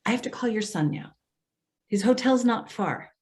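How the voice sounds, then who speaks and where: A woman's voice: a little high, animated, normal speed, a little mellow, natural voice. A woman playing a patient, concerned social worker in a readers theater drama, speaking in an earnest, coaxing way. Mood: firm, coaxing. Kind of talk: readers theater stage acting.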